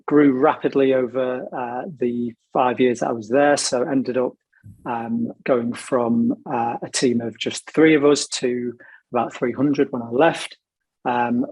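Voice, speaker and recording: A man speaks as a panel guest, his voice husky and worn from a sore throat. He rambles casually through his working life in a chatty, storytelling way. The sound is dry and close, with no room around it. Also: mid-range voice, darkish tone, dry recording, rough recording. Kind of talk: casual career talk.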